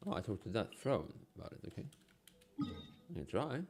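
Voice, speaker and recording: Low-voiced sick man mumbling through a stream. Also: big pitch swings, normal speed, darkish tone, faint music behind. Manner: mumbly, half to himself, sing-song repeats. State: sick with a cold, coughing and sniffling. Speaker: game streamer. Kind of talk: casual gameplay stream, reading game text aloud.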